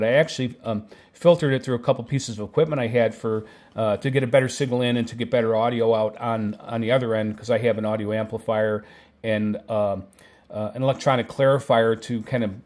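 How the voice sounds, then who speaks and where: A middle-aged or older man hosting a hobby video, explaining casually off the cuff in a halting, tentative way with frequent self-corrections. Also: medium pitch, darkish tone. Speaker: video host, radio hobbyist. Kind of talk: casual product talk, unscripted explaining.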